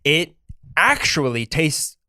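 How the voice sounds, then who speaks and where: A man co-hosting a casual podcast chat, joking and ranting with animated energy. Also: fairly high pitch, slow, dry recording, studio quality. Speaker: podcast co-host.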